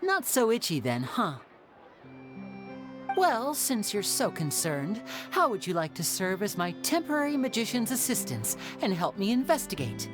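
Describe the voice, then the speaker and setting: Woman playing a lively, suave young male magician. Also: medium pitch, moderate pace, close to an everyday voice. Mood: playful, intrigued. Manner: theatrical, smooth, courteous. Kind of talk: anime-style video game voice acting. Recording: music playing under the dialogue.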